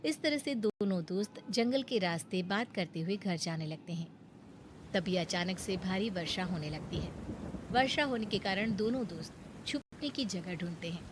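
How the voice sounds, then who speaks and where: A female storyteller delivers scripted cartoon narration. Her voice sits at a medium pitch, with clearly audible noise behind it. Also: normal speed.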